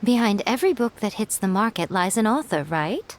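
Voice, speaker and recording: A woman playing a sly, composed schemer in a video game, teasing and knowing in an unhurried way. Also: medium pitch, expressive, lightly acted. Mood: confident, scheming. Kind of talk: scripted game voice acting.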